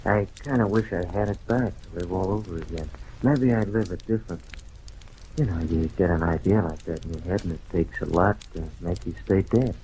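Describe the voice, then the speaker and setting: An actor playing a small-time blackmailer in the acting style of an old radio detective drama. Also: male voice, low voice, brisk, warm tone, natural voice. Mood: wry, smug. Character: small-time blackmailer, smug then desperately pleading for his life. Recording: noisy old radio recording.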